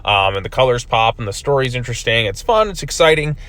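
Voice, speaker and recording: A man's voice: mid-range voice, very animated, quick pace, a bit bright. Guy rambling thru a comic review, says like a lot, voice still getting better after being sick. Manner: rambling, many fillers and "like I said". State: recovering from a lost voice. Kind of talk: casual video review.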